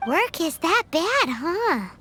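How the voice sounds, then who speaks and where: A woman voicing a tiny, childlike, cheeky fairy companion with a squeaky voice in a video game. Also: big pitch swings, slow pace, exaggerated voice. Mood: cheeky, curious. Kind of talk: video game character acting. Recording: loud children's game music behind.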